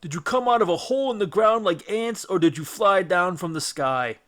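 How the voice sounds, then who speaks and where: A man's voice: sits high, lively intonation, normal speed, fairly bright tone, voice pushed up. A man voicing a greedy, brutish one-eyed giant in a children's storybook, boastful and taunting as he speaks. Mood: prying.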